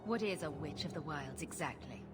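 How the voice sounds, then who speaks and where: A woman's voice: deep voice, animated, moderate pace, over loud music. A woman playing a cheeky, sarcastic young adventurer in a fantasy game, with dry, quipping delivery. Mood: wry, skeptical. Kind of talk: video game dialogue acting.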